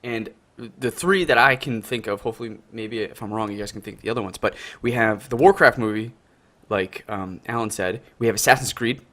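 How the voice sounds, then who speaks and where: A man's voice: lowish voice, a little fast, fairly bright tone. A man co-hosting a podcast over an online call, chatting casually in an enthusiastic, slangy way. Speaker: podcast co-host. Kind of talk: casual group chat.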